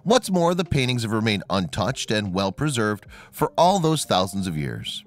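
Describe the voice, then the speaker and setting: A man reading a scripted documentary narration in a measured, expressive way. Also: lowish voice. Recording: voice-over with faint music behind.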